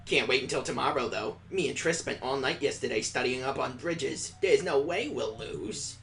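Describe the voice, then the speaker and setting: Someone doing a low, cocky cartoon schoolkid. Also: expressive, normal speed, a bit bright, faint music behind. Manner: casual, boastful, slangy.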